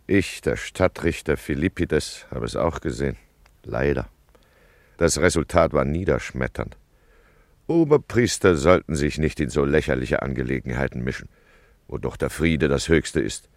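An actor playing a worried, complacent town judge who grumbles confidingly in a gravelly voice, in a German radio play monologue. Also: male voice, a bass voice, animated, moderate pace, no echo, studio quality, full character voice. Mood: rueful. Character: worried, peace-loving male town judge, complacent and plaintive.

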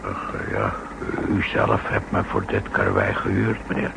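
A safecracker with an artist's pride, deferential and halting. His low, gravelly voice swings widely in pitch. It is a noisy 1960s radio drama recording. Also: very animated, heavily acted. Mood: wistful, proud. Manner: deferential, hesitant, halting with verbal tags. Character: genteel male safecracker who sees himself as an artist. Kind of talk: 1960s Dutch radio play acting.

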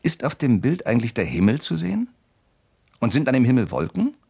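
An actor playing a persistent, slyly courteous investigator in a German radio crime drama, questioning insistently and deliberately. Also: male voice, medium pitch, huge pitch swings, creaky, a little mellow, husky, exaggerated voice. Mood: probing, feigned innocence. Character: persistent amateur investigator, male, probing with sly courtesy.

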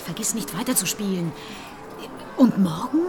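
A woman's voice: medium pitch, lively intonation, moderate pace, darkish tone, soft background music, close to an everyday voice. A woman acting a brash, streetwise gambler in a radio play, blunt and offhand in colloquial speech. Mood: excited, possessive.

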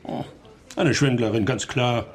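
Ein Schauspieler spielt in einem deutschen Hörspiel einen jovialen, verschmitzten Barbesitzer mittleren Alters, der umgangssprachlich poltert. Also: male voice, mid-range voice, a little slow, close to an everyday voice. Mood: scornful. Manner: chuckling, colloquial, blustery. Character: jovial, cagey bar owner with contempt for swindlers. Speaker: restaurant owner in a radio drama. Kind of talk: German radio play acting.

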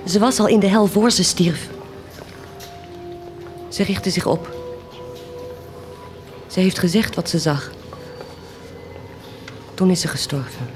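A woman's voice: lowish voice, slow, long pauses, over music. An actress playing a fierce, compassionate village woman with a solemn, declamatory intensity, in a radio drama. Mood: grave, weary. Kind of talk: stage-like radio drama acting.

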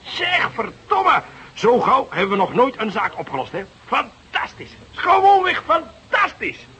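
An actor playing an eager, impulsive young police detective in a radio drama, speaking emphatically. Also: male voice, very high voice, wildly swooping pitch, measured pace, heavily acted. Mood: triumphant, excited. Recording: noisy radio drama recording.

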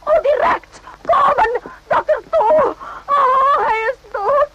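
A woman's voice: extremely high pitch, slow, pushed and bright, highs cut off, a little hiss or hum, exaggerated voice. An actress in a radio play voicing a panicked, wailing local woman who gasps out alarm in broken fragments.

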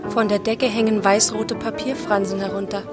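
A woman's voice: medium pitch, fairly even intonation, moderate pace. A woman delivering scripted literary narration for a radio drama in short, clipped phrases. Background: loud music.

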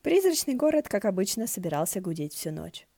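A woman's voice: medium pitch, very animated, fairly quick, gravelly, mellow, no echo. A woman narrating fiction from a script as an audiobook reader, in a wry storytelling style.

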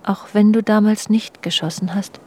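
A woman's voice: mid-range voice, steady tone, moderate pace, mellow, husky, natural voice. An actress in a German radio drama playing a worldly wife who confides in her husband about their restless, jealous marriage. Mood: wistful, reflective. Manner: literary, confiding, addressing her husband directly. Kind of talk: German radio drama, intimate narration and dialogue. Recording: music behind the voice. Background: music.

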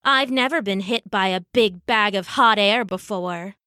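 A woman's voice: medium pitch, unhurried, bright, forceful tone, no room sound, clean studio sound. A woman voicing a sly, jealous male trickster god in a storybook myth, flippant and taunting.

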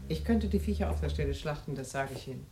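A woman's voice: low-pitched, lively intonation, fast. An actress playing a blunt, drily familiar woman refugee in a radio drama. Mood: blunt, inviting. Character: blunt, wry woman refugee with dogs in a hotel. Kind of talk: German radio drama dialogue. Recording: roomy, noisy scene with clicks.

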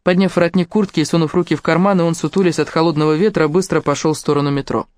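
A woman narrating an audiobook novel in an even, steady reading voice. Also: low-pitched, racing, no echo. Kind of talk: scripted narration.

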